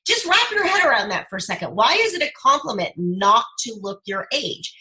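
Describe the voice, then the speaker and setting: A woman in her fifties speaks as a podcast guest, persuasive and emphatic. Her tone is bright and forceful, and she pushes her points home with lively conviction. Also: a little high, brisk. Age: 50s. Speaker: guest, speaker and brand coach. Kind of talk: podcast interview conversation.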